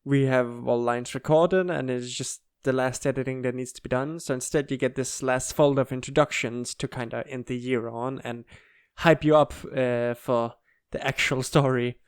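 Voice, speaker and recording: A man's voice: medium pitch, fairly even intonation, moderate pace, clean tone, no room sound, studio quality. A man giving a casual update announcement as the creator of an audio project.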